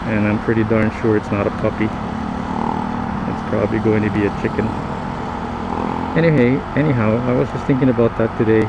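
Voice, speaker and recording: A man from the Philippines, a native Tagalog speaker, rambles casually and reflectively in halting phrases as a moto vlogger riding with a lav mic on his helmet. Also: fairly low pitch, big pitch swings, creaky. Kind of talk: casual rambling vlog monologue. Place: outdoors, riding a motorcycle in traffic. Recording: helmet lav mic, loud traffic noise. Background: motorbike engine and road traffic noise.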